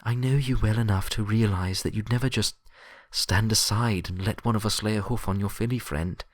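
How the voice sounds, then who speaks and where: A stern unicorn librarian, put on by a man with a raised pitch and a sharp edge. She is pragmatic and grimly insistent, reasoning firmly in a dry storybook recording. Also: fairly even intonation, normal speed, dry recording, natural voice. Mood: grave, persuasive.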